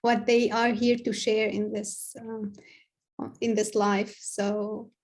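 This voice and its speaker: Even-toned woman from Tunisia. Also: medium pitch, slow. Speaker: health coach, podcast guest. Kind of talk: conversational interview. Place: home room, indoors. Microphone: video call.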